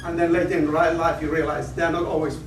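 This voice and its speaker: A man's voice: fairly high pitch, flat delivery, fast talker. A man in his fifties from northern Iraq, giving a conference talk with rambling personal stories, self-deprecating jokes and frequent restarts. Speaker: invited conference speaker. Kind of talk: lecture opening with personal anecdotes. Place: lecture hall. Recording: echoey hall, distant mic, background noise.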